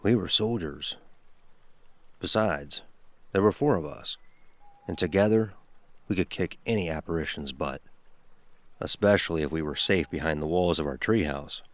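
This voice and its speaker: A grown storyteller remembering a scary boyhood summer. His voice sits low and the sound is dry and intimate, suited to first-person horror narration. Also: normal speed, long pauses, no echo. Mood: reflective storytelling. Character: grown man recalling a frightening boyhood summer.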